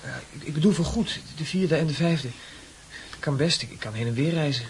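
Teen son role: slightly high voice, halting, evasive, pleading, radio drama. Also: male voice, husky. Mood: hesitant, pleading. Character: hesitant, evasive teenage son pleading with mother. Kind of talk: Dutch radio play acting.

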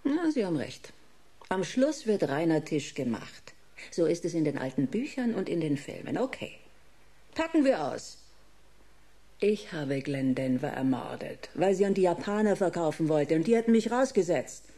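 A smug female gangster boss from a radio crime play. Her mellow voice swoops freely as she mocks and gloats, savouring every threat with theatrical relish. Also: lowish voice, sing-song, moderate pace. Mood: smug confession. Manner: mocking, theatrical, savouring each word. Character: smug murderous female corporate director playing a gangster boss. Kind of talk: German radio crime drama acting.

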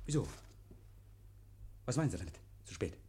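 Überdrehter, nervöser Mann, lebhafte Stimmführung. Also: male voice, fairly low pitch, sing-song, normal speed, warm tone. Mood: manically cheerful. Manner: effusive, stammering, repeats himself, chuckling. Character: nervous, over-eager male murder suspect hosting police. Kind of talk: 1950s German radio play acting.

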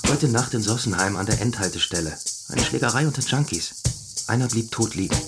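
A man's voice: fairly low pitch, animated, a little fast. A man playing a businesslike junior police officer in a crime radio drama, giving a terse, factual report. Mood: urgent, factual. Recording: loud music behind the voice.

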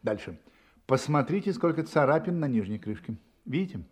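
An actor playing a brilliant, confident detective in a Russian radio play, explaining deliberately and emphatically with dramatic pauses. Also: male voice, medium pitch, very animated, slightly rough. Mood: confident, explanatory.

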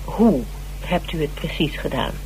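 A woman's voice: sits low, expressive, measured pace, darkish tone. A woman in a Dutch radio drama playing a shrewd, composed investigator with a probing, matter-of-fact manner. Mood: probing, polite. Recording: noisy, humming old radio recording.

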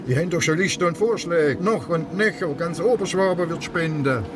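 An actor playing a grumbling provincial police chief with a Swabian accent in a radio drama. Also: male voice, a little high, animated, normal speed, very noisy, natural voice. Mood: matter-of-fact.

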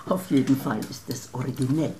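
An actress playing a playful, self-assured crime novelist and hostess in a German radio drama, with an arch manner. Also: female voice, a bass voice, normal speed, heavy background noise. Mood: amused, knowing.

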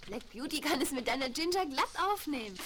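A polite, somewhat hesitant young girl, in an old children's radio play. The voice is high and youthful, with faint noise behind it. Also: animated, a little fast, a bit bright. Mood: proud, friendly. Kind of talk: German children's radio play acting, 1970s.

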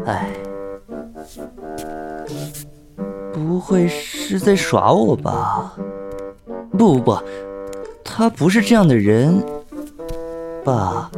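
A male actor as a shy, flustered young homebody in an audio drama. His pitch swings animatedly as he hesitates and stammers like someone hopelessly smitten. Music plays underneath. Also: very animated, takes it slowly, pauses often, exaggerated voice. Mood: doubting, fretful. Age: young adult. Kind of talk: Chinese audio drama acting with inner monologue.

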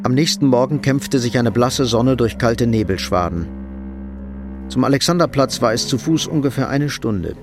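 A man's voice: medium pitch, moderate pace, music in the background. A man reading scripted literary narration as the narrator of a radio drama.

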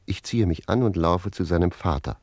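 A talkative servant with a deep, warm voice in a radio drama. He tells tales with theatrical relish and wry wit, recorded dry and close. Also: expressive, normal speed, no echo. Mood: lively narrating. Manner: savouring, theatrical storyteller. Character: wry talkative manservant recounting his amorous youth to his master. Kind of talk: German radio play dialogue and storytelling.

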